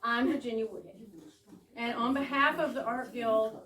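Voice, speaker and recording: A woman's voice: a little high, steady tone, moderate pace, fairly bright tone. A woman hosting a readers theater evening, giving a live welcome speech with theatrical flourishes and chatty asides. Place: room in a private home with a small audience. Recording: echoey room, distant mic, background noise.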